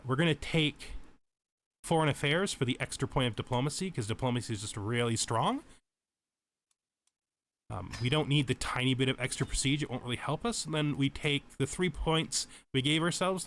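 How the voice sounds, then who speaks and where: A man giving casual let's play tutorial commentary, explaining game mechanics and thinking aloud as he goes. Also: fairly high pitch.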